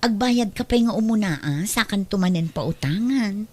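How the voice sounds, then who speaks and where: A woman's voice: medium pitch, animated, moderate pace, darkish tone, no room sound, lightly acted. A woman acting as a thrifty neighbor who is reluctant to lend money again, in an audio drama. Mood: wary. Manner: wary, reluctant.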